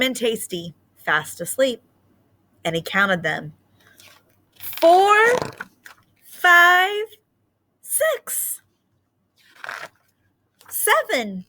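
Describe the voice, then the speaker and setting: A woman's voice: fairly high pitch, pushed and bright. A woman speaking as a teacher leading a children's read-aloud, explaining simply and reading expressively.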